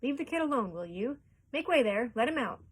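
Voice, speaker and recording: A woman voicing a fat, excitable but kindly male shopkeeper in a storybook reading. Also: mid-range voice, moderate pace, breathy edge. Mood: bossy. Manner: loud, shouting excitedly.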